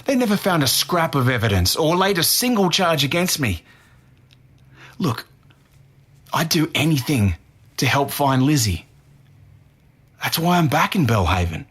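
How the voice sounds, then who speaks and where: A man's voice: fairly high pitch, very animated, a little slow, pauses often, a bit bright, husky, exaggerated voice. A man acting as a defensive Australian murder suspect in his twenties, speaking earnestly in blunt slang in an interview-style audio drama. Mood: defensive, insistent. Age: 20s.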